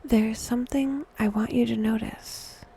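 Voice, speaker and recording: A woman narrating a scripted guided meditation in a hushed, breathy, often near-whispered voice, with a wry, dry delivery. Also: on the high side, steady tone, unhurried, slight background noise.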